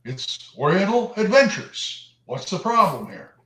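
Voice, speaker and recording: A man co-hosting a casual livestream, with a voice on the high side. He is chatty, gets exasperated and sometimes stammers. His mic picks up a roomy echo. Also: very animated, unhurried, pushed and bright. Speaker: co-host. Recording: echoey room.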